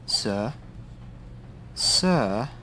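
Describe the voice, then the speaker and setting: An actor playing a polite, sinister male museum expert in a noir radio play, formal and deliberate with eerie hushed tones. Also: medium pitch, expressive, slight background noise, heavily acted. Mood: formal, solicitous.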